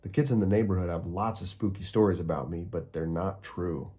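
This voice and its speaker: A man doing a gentle old neighbor. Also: low voice, a little fast, breathy edge.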